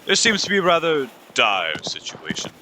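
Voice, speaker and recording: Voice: medium pitch, wildly swooping pitch, moderate pace, very noisy. A student playing a stern, brusque king. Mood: grave. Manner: brusque, impatient. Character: stern king, curt and commanding. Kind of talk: student radio play acting.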